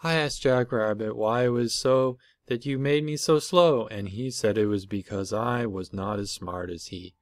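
A man's voice: mid-range voice, normal speed, few pauses, no echo, clean studio sound, lightly acted. A man voicing a sad, plaintive male desert tortoise from a children's storybook. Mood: tearful.

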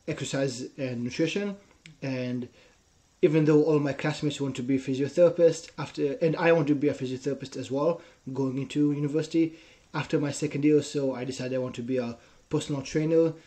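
A man's voice: medium pitch, steady tone, a little fast, warm tone. A young man in his twenties from Israel with an Israeli accent, a personal trainer telling his story in a casual interview, earnest and often tagging his sentences with "right?". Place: small room at home. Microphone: headset.

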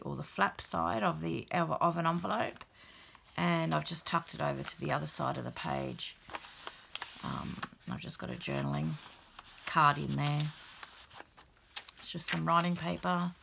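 Croaky, gravelly woman showing a handmade journal. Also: low voice, a little hiss or hum. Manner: relaxed, many "um" fillers, soft and chatty. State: croaky after the flu. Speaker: craft channel host. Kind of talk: casual show-and-tell of a handmade journal. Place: indoors at a craft table. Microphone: overhead camera, hands only.

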